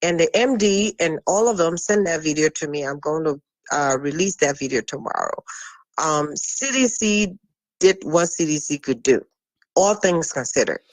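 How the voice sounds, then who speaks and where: A woman's voice: deep voice, normal speed, no echo, rough recording. Insistent woman caller. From Liberia. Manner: insistent, repetitive, talks over the host. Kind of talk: call-in radio debate.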